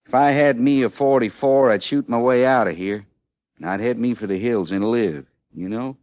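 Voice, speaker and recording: An actor in old-time radio western style playing a condemned American outlaw in his thirties, with a rustic, halting Western drawl and a rueful, plainspoken manner. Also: on the low side, a little mellow, dry recording. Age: 30s. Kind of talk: mid-century American radio western acting.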